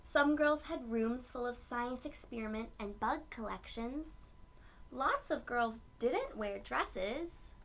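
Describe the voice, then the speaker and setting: A woman reading a picture book aloud to young children, with gentle storytelling phrasing and asides to her listeners. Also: a little high, lively intonation, takes it slowly, gravelly, slight background noise.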